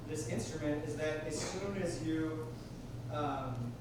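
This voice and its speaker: A man's voice: a little high, takes it slowly, very noisy. A young man teaching music technology, explaining chords and gear while playing a pad controller in a live lecture hall. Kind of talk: live music production demo. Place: college lecture hall, indoors. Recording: echoey hall, distant mic. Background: synth music playing loudly.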